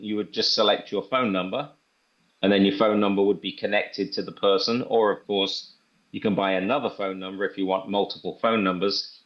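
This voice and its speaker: A man presenting a software demo in an informal, step-by-step tutorial style. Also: fairly low pitch, muffled recording. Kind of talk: casual screen-share tutorial walkthrough.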